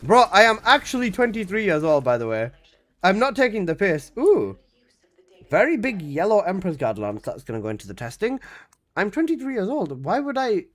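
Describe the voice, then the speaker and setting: A young man in his twenties chats on a live game stream, his voice sitting a little high for a man and leaping up and down lively as he reacts to chat. He talks loosely and swears casually, getting loud when he protests. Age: 20s.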